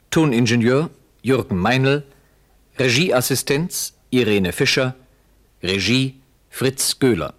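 Male announcer, slow, formal, measured, medium pitch, 1960s radio.

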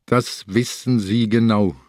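A grave old bedridden inspector of radio crime drama. His voice sits fairly low, blunt and stubborn, recorded very dry. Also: fairly even intonation, slow pace, a little mellow, no echo. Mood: grimly defiant. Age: older.

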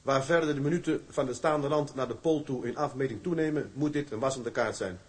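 An actor as a very husky young sailor. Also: male voice, mid-range voice, brisk, lightly acted. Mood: reciting. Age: young adult. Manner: respectful, polite. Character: young male sailor, earnest and restless. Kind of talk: radio drama acting.

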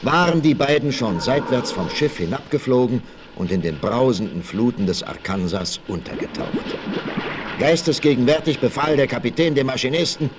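An actor narrating a western adventure in an emphatic, suspenseful style for a 1960s radio play. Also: male voice, medium pitch, lively intonation, normal speed, lightly acted. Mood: dramatic, gripping. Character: dramatic storyteller of a western adventure. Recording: vintage vinyl radio play, faint noise.

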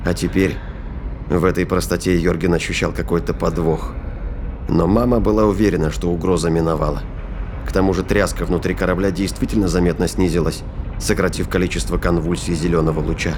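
Low-voiced male audio drama narrator. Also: steady tone, fairly quick, background noise. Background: prominent music and sound effects.

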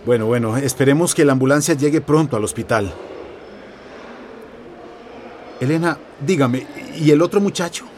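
A man's voice: mid-range voice, animated, fast, a little mellow, slight background noise, natural voice. An actor with a Latin American accent playing a fatherly, middle-aged police chief in a radio drama, reassuring and authoritative. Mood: troubled. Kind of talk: Spanish-language radio drama acting.

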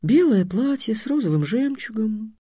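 A man's voice: sits high, big pitch swings, moderate pace, warm tone, slightly rough, no echo, clean studio sound, voice pushed up, full character voice. A man voicing a clever young girl who teases and coolly threatens the others.

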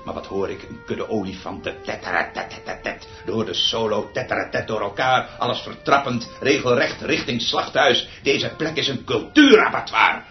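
An actor playing an embittered, paranoid orchestra conductor in a radio drama, ranting theatrically in pompous, repetitive phrases. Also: male voice, medium pitch, moderate pace, few pauses, close to an everyday voice. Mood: scornful. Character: manic, embittered, paranoid male orchestra conductor, grandiose and raving. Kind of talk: radio drama monologue acting. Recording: muffled roomy radio play recording with music.

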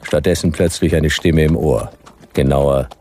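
An actor playing a laconic, weary private detective in a German radio crime drama, terse and deadpan. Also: male voice, a bass voice, measured pace, slight background noise. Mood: dry, deadpan. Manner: terse, deadpan first-person narration.

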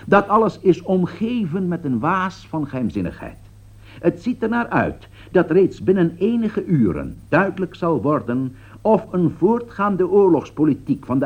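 Grave radio commentator, dramatically varied pitch. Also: male voice. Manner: solemn, formal, rhetorical. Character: grave male radio political commentator foretelling catastrophe. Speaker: political commentator. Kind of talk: scripted radio commentary in a radio play. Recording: dull, lo-fi radio play recording.

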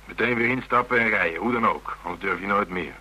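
An actor with a Netherlands Dutch accent playing a gruff, pragmatic older man in a radio drama, terse and dry. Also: on the low side, fast talker, sharp tone. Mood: matter-of-fact. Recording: noisy, humming radio play recording.

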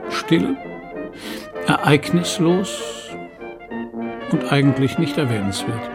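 A man playing a writer who recounts his life in a literary radio monologue, measured, dry and deliberate. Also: medium pitch. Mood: dry resignation. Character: deadpan male writer solemnly recounting his uneventful life.

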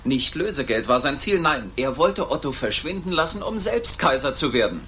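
A man's voice: a little high, sing-song, bright, forceful tone, slight background noise. A man playing an honourable captain of the guard, speaking formally and courteously in a radio play. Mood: earnest. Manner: formal, measured explaining. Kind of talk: German radio play acting.

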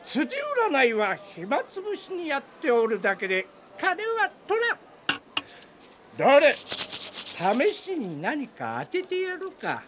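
A man's voice: very high voice, huge pitch swings, slow, background noise, full character voice. A man playing an eccentric old fortune-teller in a radio drama, with folksy old-timer speech. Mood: sly, coaxing.

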